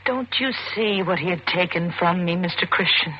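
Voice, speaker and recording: A woman's voice: lowish voice, normal speed, lightly acted. Woman doing a bitter young wife accused of murder, dramatic old radio suspense, muffled n hissy. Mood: bitter, probing. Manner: intense, theatrical, rhetorical questioning. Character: young, beautiful, embittered wife accused of killing her husband. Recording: muffled, noisy vintage radio recording.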